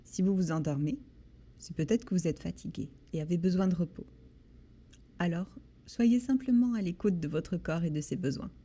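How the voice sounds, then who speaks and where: A woman leads a guided body scan meditation in a soothing, gentle manner, with deliberate pauses between short phrases. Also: lowish voice. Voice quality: soft, breathy. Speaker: guided relaxation instructor.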